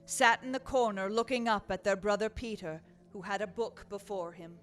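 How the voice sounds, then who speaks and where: A woman's voice: medium pitch, fairly even intonation, normal speed, faint music behind, close to an everyday voice. A woman giving scripted storytelling narration in a radio play.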